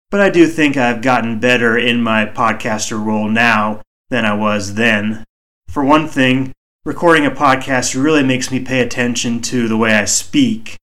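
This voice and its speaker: Man with a soothing, measured voice. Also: mid-range voice, fairly bright tone. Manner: measured, reflective, deliberately slow. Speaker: podcast host. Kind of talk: solo podcast monologue. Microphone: home microphone.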